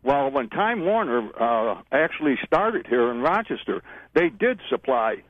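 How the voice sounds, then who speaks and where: A man in his eighties with a Western New York accent, calling in to a radio show and talking in a halting way. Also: medium pitch, big pitch swings, no echo. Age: 80s. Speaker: caller. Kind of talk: casual call-in chat. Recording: muffled phone line.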